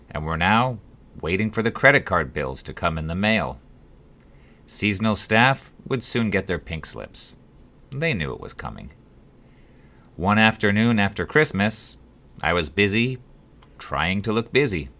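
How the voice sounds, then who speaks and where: A man reading his own story as an audiobook, in a wry, dryly humorous way with dramatic pauses. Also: on the low side, fairly bright tone. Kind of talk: scripted audiobook narration of a memoir-like story.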